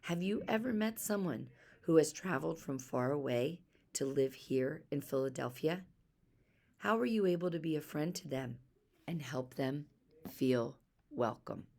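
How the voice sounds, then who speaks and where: Low, creaky-voiced woman reading aloud. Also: animated, a little slow, darkish tone. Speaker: storybook reader. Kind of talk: expressive children's story reading.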